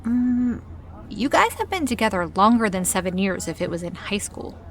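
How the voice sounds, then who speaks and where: A woman's voice: medium pitch, sing-song, moderate pace. A woman playing an upbeat, supportive best friend in an audio drama, with a reassuring manner.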